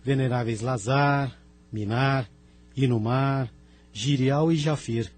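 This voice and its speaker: Polite Brazilian spirit rescuer, acted by a man, mid-pitched. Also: takes it slowly, darkish tone. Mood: welcoming. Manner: polite, formal. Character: courteous, dutiful male spirit rescuer. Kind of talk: Brazilian audio drama acting. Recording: music bed behind the voice.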